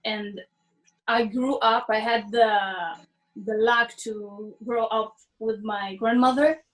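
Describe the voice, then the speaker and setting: A young woman from Israel, a musician, answering interview questions in a thoughtful, halting way, often restarting her phrases. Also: on the high side, steady tone, rough recording. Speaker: musician guest.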